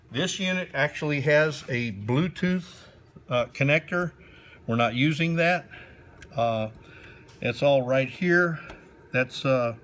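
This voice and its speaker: A man giving a slow, plain hands-on demonstration of setting up a sound system indoors, pausing often with fillers. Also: on the high side, fairly bright tone. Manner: unhurried, plain instructions with frequent pauses and fillers. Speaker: ministry volunteer demonstrating sound gear.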